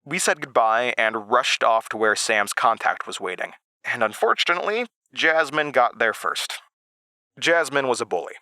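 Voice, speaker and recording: A man's voice: medium pitch, sharp tone, no room sound. A man playing an earnest, rueful narrator of a horror audio drama, recounting his childhood in conversational, confessional asides. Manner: conversational, hesitant asides to the listener.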